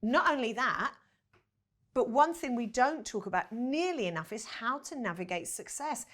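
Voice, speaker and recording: A woman's voice: a little high, expressive, normal speed, fairly bright tone. A woman speaking as a podcast guest. She talks reflectively and repeats words as she builds a point. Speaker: podcast guest, vocal coach. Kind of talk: casual conversation.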